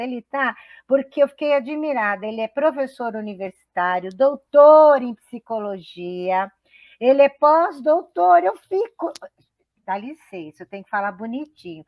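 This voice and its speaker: A woman's voice: high voice, lively intonation, moderate pace, dry recording, muffled recording. Chatty enthusiastic middle-aged woman. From São Paulo state, Brazil. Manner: chatty, enthusiastic, laughs easily, many restarts. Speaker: host. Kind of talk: web radio talk show.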